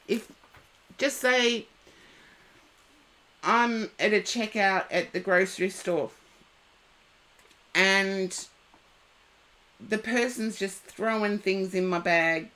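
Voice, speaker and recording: A woman with a head cold, giving tarot readings and gentle advice to viewers on a live stream. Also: mid-range voice. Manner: slow, unhurried, gently advising viewers by name.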